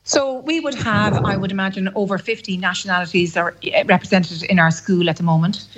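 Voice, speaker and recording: A woman speaks earnestly and formally as a school deputy principal being interviewed. Her pitch is average, and the audio is a little dull. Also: brisk, noisy background. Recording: slightly dull, band-limited interview audio.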